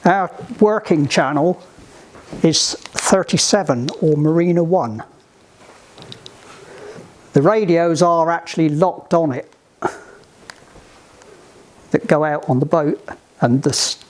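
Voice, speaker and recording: A man giving hands-on instruction to a group in a halting, deliberate, emphatic way, on an amateur recording. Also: a little high, darkish tone. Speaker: sailing club instructor giving radio training.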